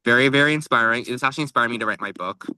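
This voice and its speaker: A man's voice: medium pitch, very fast, sharp tone, clear, resonant tone, dry recording. A young man co-hosting a book podcast, explaining things in an informal, casual chat style.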